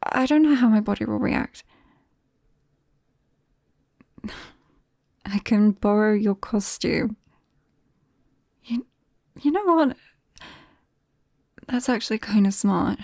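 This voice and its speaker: Woman playing a soft, slow-spoken British vampire girl. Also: mid-range voice, no echo, studio quality. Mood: flustered, then hesitant, relenting. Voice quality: soft, breathy, often dropping to a whisper. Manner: hesitant, bashful, halting. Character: shy, nervous female vampire best friend, gentle and awkward. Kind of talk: ASMR roleplay.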